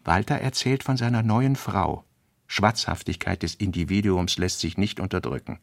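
Male narrator, scripted literary reading, gravelly, dry close sound. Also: low-pitched, normal speed, dry recording.

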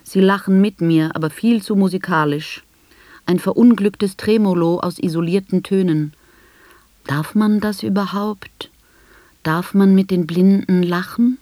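Radio drama: blind female narrator played by an actress, sardonic and measured, literary delivery, medium-pitched voice, dry studio sound. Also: mellow, dry recording, close to an everyday voice. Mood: wry, ironic. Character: blind woman recounting her life with bitter, ironic humour.

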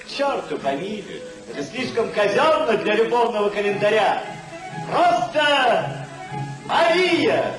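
An actor playing an exuberant, hard-drinking young rake in a theatrical 1950s radio play. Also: male voice, sits high, very animated, a little slow. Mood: playful. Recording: roomy 1950s radio recording, loud music.

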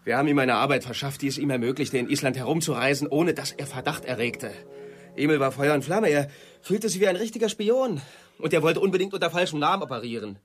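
A man's voice: mid-range voice, lightly acted. A man acting in a radio drama as a cold, secretive man covering up a crime, curt and controlling. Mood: confiding, cold.

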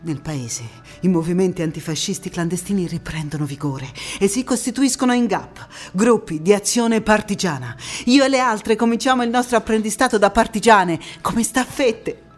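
A woman's voice: medium pitch, moderate pace, few pauses, faint music behind, natural voice. An actress playing a passionate young anti-fascist partisan telling her war story in a dramatic stage monologue. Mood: resolute. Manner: dramatic, emphatic delivery.

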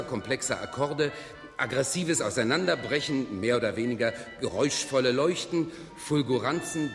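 A man as florid radio concert announcer, formal, high-flown, solemn, mid-pitched, music behind. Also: close to an everyday voice. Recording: long hall reverb, music behind.

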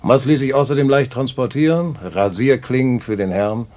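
A man's voice: mid-range voice, moderate pace, a little mellow, close to an everyday voice. An actor playing a worldly former racketeer, telling his story in a knowing, patronising way in a radio play. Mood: sly, pleased. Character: worldly male ex-racketeer fondly recounting his schemes. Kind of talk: radio play narration in dialogue.

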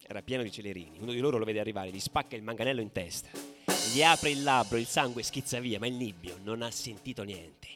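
A man's voice: lowish voice, fast, close to an everyday voice. A man playing a furious, worn-out young football ultra in a dramatic radio theatre monologue. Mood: weary, tense, then excited. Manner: swinging from hushed telling to shouted frenzy. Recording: drum music behind the voice.

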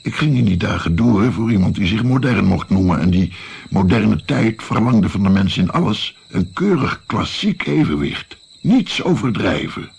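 An actor playing an older musician in a Dutch radio drama, looking back in a reflective, self-mocking and musing way, with a gravelly voice. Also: male voice, fairly low pitch, normal speed, exaggerated voice. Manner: musing, hesitant, expressive.

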